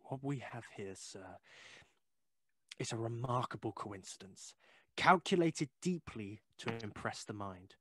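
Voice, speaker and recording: A sceptical Victorian gentleman of reason in a period radio play. His speech is formal, measured and insistent, at a middling pitch. The sound is dry and close. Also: expressive, no echo. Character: rational, sceptical Victorian gentleman reassuring the signalman. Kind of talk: period audio drama acting.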